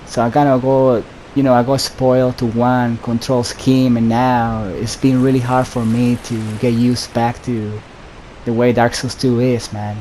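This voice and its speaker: A man's voice: mid-range voice, a little slow, poor audio. A man giving casual, rambling gameplay commentary in an informal way. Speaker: gameplay host. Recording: steady background hiss.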